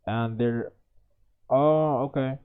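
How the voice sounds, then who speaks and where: Halting man reading a test passage. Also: mid-range voice, clean tone, phone-quality sound. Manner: halting, many restarts and self-corrections while reading. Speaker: IELTS tutor.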